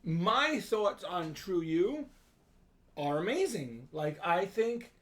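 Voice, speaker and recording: A man's voice: high voice, very animated, slow, fairly bright tone. A man co-hosting a book club livestream, debating casually, often interjecting and repeating words for emphasis.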